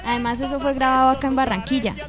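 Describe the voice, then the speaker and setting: A woman's voice: sits high, fairly even intonation, very fast, music in the background, lightly acted. A woman playing a chatty, insistent young woman who loves modern pop, in an amateur radio drama.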